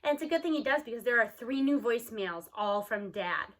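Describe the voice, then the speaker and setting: A woman reading a storybook aloud as narrator, expressively, with an animated manner for young listeners. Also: fairly high pitch, fairly quick. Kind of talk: expressive storybook read-aloud with character dialogue.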